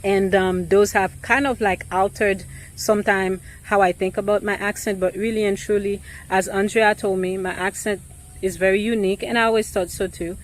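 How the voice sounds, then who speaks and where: A woman realtor with an accent, talking casually and thoughtfully to the camera, pausing often with ums and restarts. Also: medium pitch, steady tone, rough recording.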